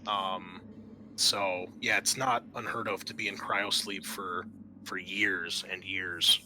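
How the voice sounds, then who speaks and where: A man working as game master, narrating and explaining a tabletop game in a halting, conversational way. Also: low-pitched, steady tone, noisy background.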